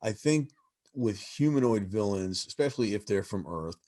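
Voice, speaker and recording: A man speaking as a panelist in a casual video call discussion, relaxed and halting with repeated starts. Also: lowish voice, dry recording, studio quality.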